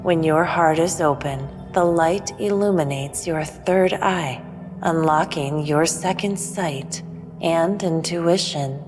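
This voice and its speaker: Woman playing a low-voiced, slow, serene alien teacher. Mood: serene, instructive. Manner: solemn, measured, didactic. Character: serene, preachy female alien spiritual teacher, Maya. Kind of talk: audio drama acting. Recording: long reverb, music underneath.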